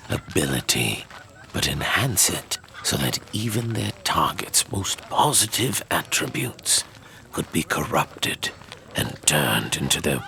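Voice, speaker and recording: A man playing a measured, knowing storyteller of dark fantasy lore, speaking with deliberate dramatic emphasis. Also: extremely low pitch, gravelly, a little hiss or hum, full character voice, low-quality recording.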